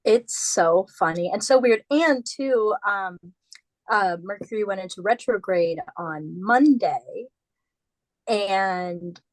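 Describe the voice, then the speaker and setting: A woman's voice: medium pitch, slow, no room sound, low-quality recording. A woman co-hosting a casual podcast, getting over an illness, chatting loosely with lots of "like" fillers. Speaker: podcast co-host.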